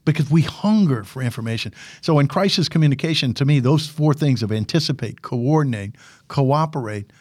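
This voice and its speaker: An older man with a big, commanding voice speaks as a guest in an interview. He tells his stories with animated energy, repeating himself and restarting sentences. The recording is close and dry, with no room sound. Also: mid-range voice, no room sound. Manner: animated storyteller, repeats words and restarts often. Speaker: guest, former military public affairs officer and instructor. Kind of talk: casual podcast interview.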